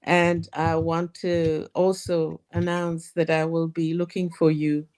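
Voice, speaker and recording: A woman from Cuba, calling in to offer thanks in a halting way with many fillers. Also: low voice, flat delivery, darkish tone, smooth. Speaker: caller. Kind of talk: call-in thanks and chat.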